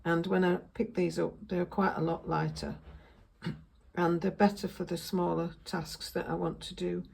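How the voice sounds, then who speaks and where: A woman with a croaky voice, chatting casually through her sewing work and correcting herself as she goes. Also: fairly low pitch, little pitch movement, moderate pace, a little mellow. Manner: chatty, self-correcting, thinks aloud while working. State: croaky voice, coughing. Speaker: sewing vlogger. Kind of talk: casual sewing vlog narration.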